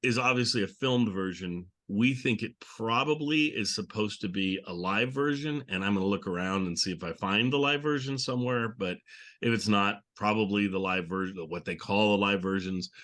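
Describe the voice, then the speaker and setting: A man's voice: lowish voice, moderate pace, a bit bright. A man hosting a podcast in casual chat, talking in a rambling, conversational way. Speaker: podcast host.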